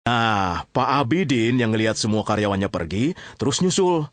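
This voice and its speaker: A man's voice: on the low side, expressive, normal speed, no room sound. A man narrating a radio drama as a colloquial storyteller, chatty, theatrical and teasing.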